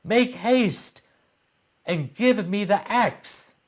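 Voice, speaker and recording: A man acts out a nimble boy from a storybook. His voice is pushed up high, and he calls out urgently. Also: expressive, drawn-out words, full character voice. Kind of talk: storybook read-aloud character.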